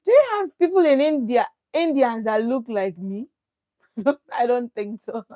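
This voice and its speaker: Nigerian lady doing a chatty live q&a, kinda high voice, dry close sound. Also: unhurried, mellow, no room sound. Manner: chatty, reads viewer questions aloud and answers them. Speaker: livestream host. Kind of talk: casual live Q&A.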